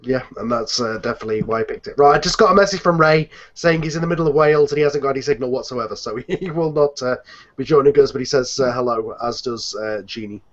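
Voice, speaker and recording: A man hosting an online group chat with a hesitant, halting delivery. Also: mid-range voice. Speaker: host and series producer. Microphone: computer, later phone, on online call. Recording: dull lo-fi internet call.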